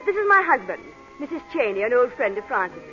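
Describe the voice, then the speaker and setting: A woman's voice: on the high side, big pitch swings, fast talker. An actress playing a sociable English society lady, effusive and breezy, in old-time radio drama style. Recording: dull vintage radio recording, faint music.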